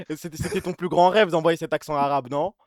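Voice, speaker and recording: A man's voice: a little high, normal speed, no room sound, studio quality. A man hosting a live stream in casual chat, giggly and exclamatory, talking in slang. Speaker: streamer hosting a role-play.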